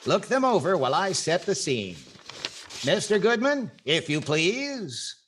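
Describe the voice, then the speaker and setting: A man's voice: sits high, sing-song, unhurried. A man acting as a dry, wry comedian host and narrator in scripted 1940s radio comedy.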